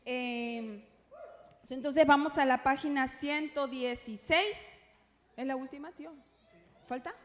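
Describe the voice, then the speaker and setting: A woman's voice: high voice, slow pace, pauses often, fairly bright tone. A woman with a Peruvian Spanish accent teaching a church class informally, chatting with playful asides and often checking that listeners follow. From Peru. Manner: conversational, playful asides, frequent checking tags. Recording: echoey hall with long reverb.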